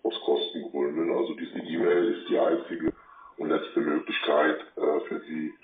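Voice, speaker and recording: A man's voice: very deep voice, little pitch movement, normal speed. A man leaving a formal, insistent payment demand over the phone. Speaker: debt-collection caller. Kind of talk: phone message. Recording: tinny, echoey phone line.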